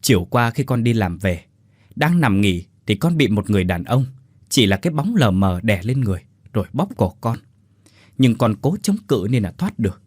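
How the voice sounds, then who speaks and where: A man's voice: lowish voice, big pitch swings, normal speed, a little mellow, no room sound, clean studio sound. A man voicing a terrified young adult son who recounts a haunting in a shaken, pleading voice.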